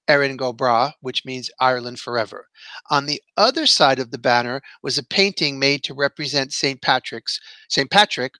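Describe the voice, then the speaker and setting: Bright-voiced New man hosting a podcast. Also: mid-range voice, no echo. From New York City, United States. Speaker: podcast host. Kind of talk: scripted podcast monologue, storytelling.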